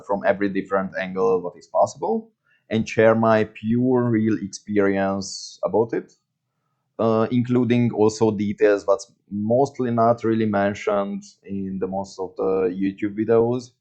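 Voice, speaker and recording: A man's voice: fairly low pitch, little pitch movement, measured pace, darkish tone, highs cut off. A man from Hungary with a Hungarian accent, presenting a scripted video review in English as a non-native speaker. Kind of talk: scripted product review to camera.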